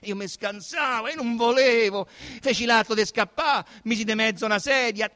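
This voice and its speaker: Radio drama suspect, young Roman male, played by a male actor: high-pitched, bright, imploring, insistent. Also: moderate pace. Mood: flustered. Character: pleading young Roman man under police questioning. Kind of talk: theatrical radio drama monologue.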